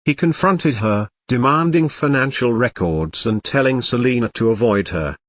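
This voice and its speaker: A man reading a scripted documentary narration in a measured, even storytelling manner. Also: medium pitch, dry recording, studio quality.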